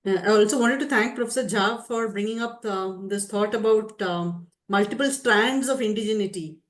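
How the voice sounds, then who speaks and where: A woman's voice: medium pitch, moderate pace. Polite woman in a roomy hall. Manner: polite, hesitant with fillers. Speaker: audience member asking a question. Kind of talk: academic Q&A comment. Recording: roomy echo.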